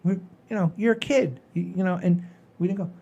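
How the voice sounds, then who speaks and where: Husky, mellow older man chatting. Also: sits high, a little fast, dull audio. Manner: folksy, rambling, animated storytelling with swearing. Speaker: podcast host. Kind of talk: casual chat and storytelling.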